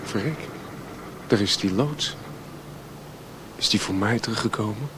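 An actor playing a restless, rundown drifter in a Dutch radio drama, speaking earnestly and haltingly, sometimes repeating words. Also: male voice, fairly low pitch, natural voice. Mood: nervous. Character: restless, rundown male drifter seeking open country. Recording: noisy old radio play recording.

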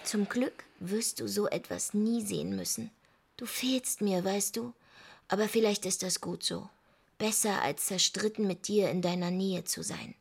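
An actress playing a brooding, defiant daughter who pines for her twin brother, in intimate, husky radio drama acting. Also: medium pitch. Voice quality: husky, breathy.

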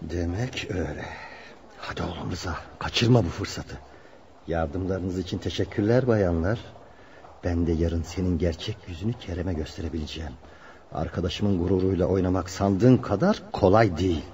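A man's voice: low voice, moderate pace, warm tone, slight background noise. A man playing a loyal, earnest carpenter in a radio drama, speaking politely and seriously. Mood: quietly determined. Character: loyal, earnest carpenter protecting his childhood friend. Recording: dull lo-fi radio recording.

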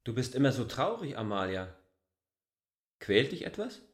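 An actor playing a gently probing newcomer in a German radio play, soft-spoken and questioning. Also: male voice, medium pitch, big pitch swings, moderate pace, natural voice. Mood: gentle, reserved. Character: gently probing male newcomer talking to a village woman.

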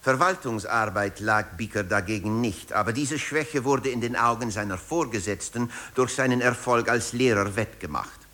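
A man's voice: mid-range voice, normal speed, hardly any pauses, sharp tone. A man delivering scripted narration for a satirical radio feature in a composed, explanatory way.

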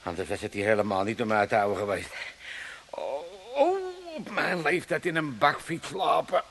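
Creaky, grumbling old man. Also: medium pitch, expressive, normal speed, a bit bright, slight background noise. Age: elderly. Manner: moaning, muttering complaints and oaths. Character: grumbling, self-pitying old Amsterdam father, locked away. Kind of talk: comic radio play acting.

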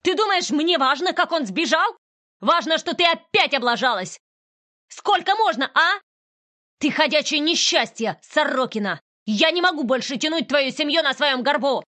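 A woman's voice: high-pitched, animated, normal speed, sharp tone, no echo, voice pushed up. A woman putting on a shrieking cruel older boss woman. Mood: furious. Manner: shrieking, bullying.

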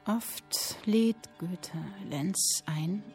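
An actress reading an intimate literary tale within a radio drama, hushed and deliberate. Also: female voice, on the low side, soft background music.

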